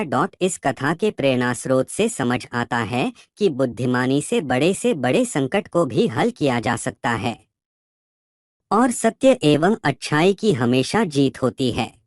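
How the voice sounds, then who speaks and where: Storyteller, children's tale narration, lively intonation, measured slow delivery, dry sound. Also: mid-range voice, dry recording.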